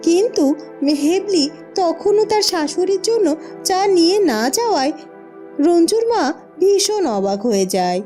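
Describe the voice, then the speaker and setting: A woman's voice: extremely high pitch, lively intonation, unhurried, dark tone. A woman reading scripted story narration as narrator, with music behind her.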